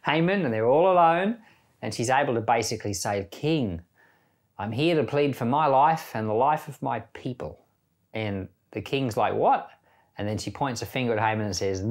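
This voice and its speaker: Man reading scripture, then chatting. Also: mid-range voice. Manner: relaxed, thinking aloud with fillers. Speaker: church Bible reader. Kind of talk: scripture reading and informal commentary.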